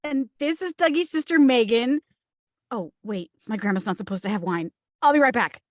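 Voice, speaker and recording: A woman playing an excited, friendly bride-to-be in a comedy table read, eager and bubbly. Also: fairly high pitch, moderate pace, no echo, studio quality, natural voice.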